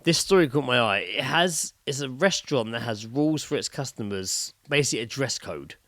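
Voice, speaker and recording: A man's voice: mid-range voice, expressive, brisk, a bit bright, no echo, clean studio sound. A British man co-hosting a podcast, telling stories in casual, blokey and very sweary chat. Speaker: podcast co-host.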